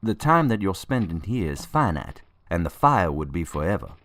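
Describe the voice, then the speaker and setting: An actor playing an earnest, pious and cautious preacher in an audio drama, given to moralising. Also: male voice, low voice, big pitch swings, normal speed, fairly bright tone, dry recording, exaggerated voice.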